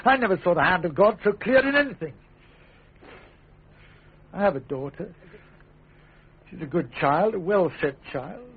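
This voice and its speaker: Radio drama king, young, English, self-righteous and volatile. Very husky voice, theatrical delivery, narrow-band broadcast sound. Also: sits high, animated, normal speed, pauses often, heavily acted. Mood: self-righteous, pleading. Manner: theatrical, abrupt swings between bluster and hush. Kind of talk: classic British radio drama acting.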